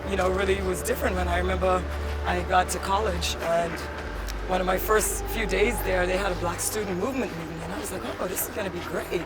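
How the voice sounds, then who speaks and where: A woman from the Bahamas, a guest in an interview, telling stories in an animated way and jumping high when she acts out what she was thinking. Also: fairly quick. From Nassau, Bahamas. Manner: animated storytelling, rising high when acting out her past thoughts. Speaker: interview guest, diversity director. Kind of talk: conversational interview. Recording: loud music playing behind the voice.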